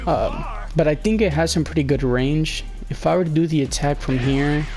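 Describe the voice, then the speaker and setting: A man's voice: fairly high pitch, normal speed, a little mellow, soft background music. A young man with a slightly sick, fading voice, casually talking over gameplay, rambling and hesitant with many fillers. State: slightly sick, losing his voice. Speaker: gameplay commentator. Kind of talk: casual game walkthrough voice-over.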